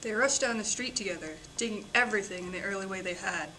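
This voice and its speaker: Bright-voiced woman reading aloud. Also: medium pitch, fast, a little hiss or hum, poor audio. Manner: expressive, building to emphatic passages. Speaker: student reader. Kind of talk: amateur dramatic reading of a novel.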